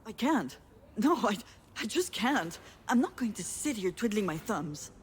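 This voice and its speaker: An actress playing a proud, combative sister with a blunt, challenging way of talking in game dialogue. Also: female voice, mid-range voice, sing-song, a little slow, a little hiss or hum. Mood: insistent.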